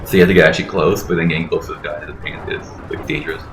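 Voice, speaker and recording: A deep-voiced man chats casually through a video game, explaining things at a rapid clip and swearing freely. The room adds clear echo, and game music plays faintly underneath. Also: fairly even intonation. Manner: explanatory, profane. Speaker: let's play host playing and explaining the game. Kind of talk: casual gameplay commentary.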